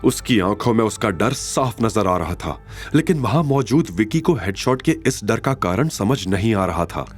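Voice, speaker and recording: A man's voice: fairly low pitch, animated, moderate pace, breathy edge, faint music behind. A man narrating a scripted audio drama in a dramatic storytelling style.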